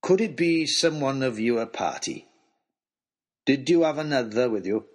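A man putting on a kindly, hearty host in a storybook, speaking slowly with gentle finality and a firm edge underneath. The voice is slightly husky. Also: medium pitch. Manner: calm, warm, firm. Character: gentle but stern old host, hearty and kind.

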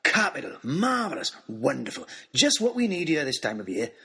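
A man's voice: wildly swooping pitch, moderate pace, vocal fry, pushed and bright, breathy edge, heavily acted. A man doing a jolly giant old host. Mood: jovial. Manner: booming, delighted, gushing exclamations.

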